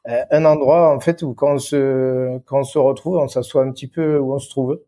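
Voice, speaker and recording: A man's voice: mid-range voice, steady tone, moderate pace, mellow. A man with a regional French accent giving conversational explanations as an interview guest, searching for words and repeating key phrases. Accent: French, non-Lyon regional. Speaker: interview guest, event venue professional.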